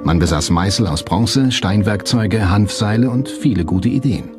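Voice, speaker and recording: A man reading scripted documentary narration in a measured, factual manner. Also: on the low side. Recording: music bed under the voice. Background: background music.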